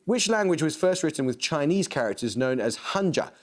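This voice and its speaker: A man presents a university quiz from a television studio, crisp and measured in delivery. His voice sits at an ordinary pitch but swings lively up and down as he reads questions and team summaries. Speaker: quiz show host.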